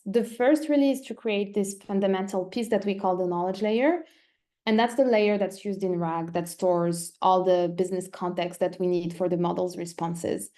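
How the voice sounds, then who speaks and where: Casting: female webinar presenter, mellow relaxed tone, mid-range pitch, methodical step-by-step explainer. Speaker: company presenter in a technical webinar.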